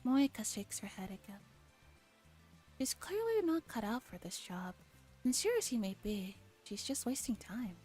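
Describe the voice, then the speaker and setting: A woman streamer reading a visual novel aloud in a soft, gentle ASMR narration style. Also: on the high side, very animated, unhurried, breathy edge. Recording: background music under voice. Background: soft background music.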